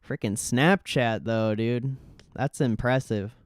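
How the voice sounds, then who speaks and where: A man's voice: mid-range voice, slow pace, no echo, clean studio sound. A man hosting a livestream, giving casual market commentary in informal, slangy, sweary speech. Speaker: livestream host.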